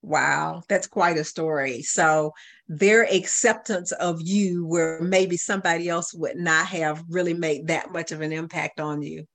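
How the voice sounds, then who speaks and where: A woman's voice: sits low, fairly even intonation, measured pace, gravelly. A woman hosting a radio interview, asking questions in a conversational way. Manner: conversational, asks questions with restarts.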